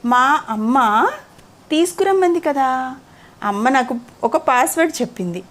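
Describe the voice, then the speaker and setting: Woman playing small schoolgirl in a children's tale: high voice, wary, insistent, deliberate. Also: animated, fairly bright tone. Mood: cautious, firm. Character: little schoolgirl, clever and cautious, questioning a stranger.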